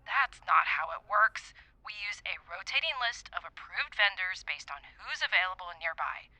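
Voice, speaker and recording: Female bit part: brisk town clerk on the phone, very sharp bright tone, dry, noncommittal, audio drama. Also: mid-range voice, expressive, no echo, low-quality recording. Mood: noncommittal, weary. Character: brisk, unhelpful woman public works phone clerk. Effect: telephone filter.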